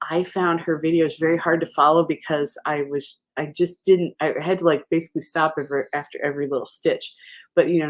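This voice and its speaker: A woman hosting a live crochet stream, chatting casually and rambling with many fillers, restarts and easy laughs. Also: low-pitched.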